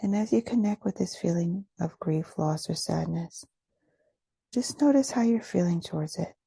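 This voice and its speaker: Low, husky woman guiding meditation. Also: mellow, no echo. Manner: soothing, unhurried, pausing between short phrases. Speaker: meditation guide. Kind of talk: guided meditation, gentle scripted instruction.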